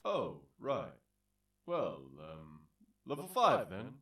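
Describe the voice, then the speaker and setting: An actor playing a showy, sadistic game show host in an audio drama parody, with theatrical drawn-out announcing. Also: male voice, fairly low pitch, huge pitch swings, bright, forceful tone, far from the mic, exaggerated voice. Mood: flustered, irritated. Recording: roomy echo, off the mic.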